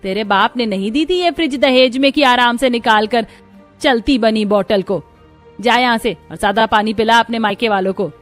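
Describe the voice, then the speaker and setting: A woman's voice: medium pitch, fairly quick, natural voice. Woman doing a bossy older rich saas, scolding and scornful, cartoon story, music behind. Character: harsh, scornful older rich mother-in-law.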